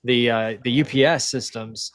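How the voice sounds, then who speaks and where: A man's voice: mid-range voice, steady tone, moderate pace, a bit bright, clear, resonant tone, no echo. Chatty man full of ums. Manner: chatty, lots of ums, jokes aside. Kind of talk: casual livestream chat.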